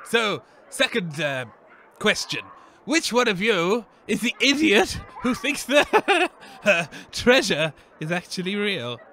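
An actor playing a vain, tipsy radio co-host in a comedy radio play, muddled and over-excited. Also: male voice, high voice, slow pace, a little hiss or hum, full character voice. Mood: giddy.

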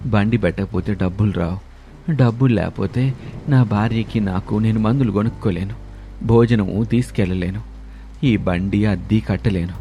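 A man's voice: lowish voice, moderate pace, mellow, a little hiss or hum, close to an everyday voice. Man voicing a frail, humble old street vendor. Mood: weary, pleading. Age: older. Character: frail, sick, grateful old male pani puri vendor. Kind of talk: animated moral story character voice. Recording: background music under the voice.

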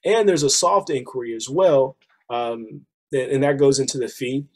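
Thirties male presenter, a bit hoarse, easygoing sales talk over a webcam. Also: medium pitch, normal speed. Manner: casual, persuasive, addresses listeners as y'all. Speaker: business funding presenter. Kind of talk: screen-share sales walkthrough. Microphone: webcam on a video call.

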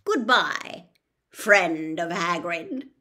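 A woman voicing an ancient, fierce male giant spider in a storybook, slow, menacing and emphatic. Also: fairly low pitch, lively intonation, sharp tone, pitched below the performer's own voice, exaggerated voice. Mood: cold, menacing. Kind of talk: storybook read-aloud character voice.

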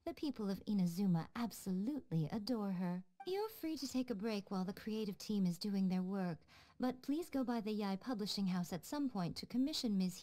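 Brief: a woman playing a teasing, self-assured fox priestess and publisher, mellow game voice. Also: medium pitch, close to an everyday voice. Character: sly fox-eared shrine priestess who runs a publisher, adult female. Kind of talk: video game voice acting.

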